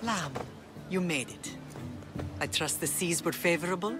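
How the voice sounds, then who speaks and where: A woman's voice: fairly low pitch, lightly acted. Woman acting a grave, resolute Spartan mother, music behind. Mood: tender. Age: middle-aged. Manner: solemn, measured. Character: grave, resolute Spartan mother, warm toward her son. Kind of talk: dramatic video game acting.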